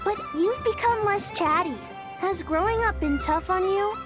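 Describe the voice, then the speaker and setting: A woman's voice: extremely high pitch, normal speed. A woman voicing an eager, childlike little animal creature in a video game, earnest in manner. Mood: friendly, curious. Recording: music behind the voice.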